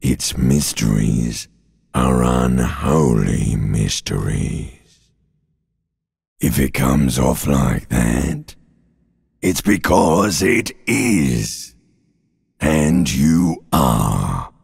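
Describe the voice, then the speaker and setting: A man voicing an ancient reptilian brain in a video game, a seductive, menacing, world-weary inner voice with a guttural rasp and sardonic crooning. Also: rumbling low, animated, darkish tone, full character voice. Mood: taunting, seductive. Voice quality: gravelly, raspy, guttural croak. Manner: slow, drawn-out, mock-soothing, sardonic crooning. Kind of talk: theatrical video game voice acting.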